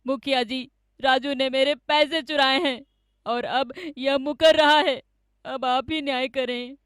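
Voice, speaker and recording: A woman's voice: sits high, steady tone, unhurried, a bit bright, smooth, no room sound, clean studio sound. A woman voicing a scheming, greedy village man with an insistent, dramatic manner in a cartoon story. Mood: indignant, insistent. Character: scheming greedy village man, falsely accusing his friend.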